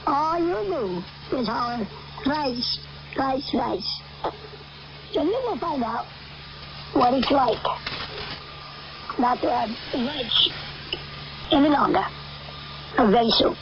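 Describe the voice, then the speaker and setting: An older American woman giving a rambling end-times sermon on shortwave radio, halting, repetitive and emphatic. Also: sits high, very animated. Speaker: radio preacher. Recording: noisy, muffled shortwave radio capture.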